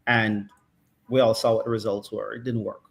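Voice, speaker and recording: A man's voice: on the low side, flat delivery, normal speed, vocal fry. A middle-aged man analysing football in casual chat, thoughtful and checking in with "right?" often. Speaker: football pundit.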